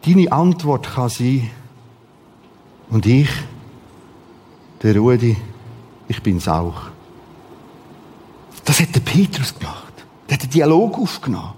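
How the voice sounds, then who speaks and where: A man with a Swiss German accent preaching a sermon in short, repeated, emphatic phrases, with a husky voice. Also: mid-range voice, big pitch swings, slow pace, long pauses, a little mellow, a little hiss or hum.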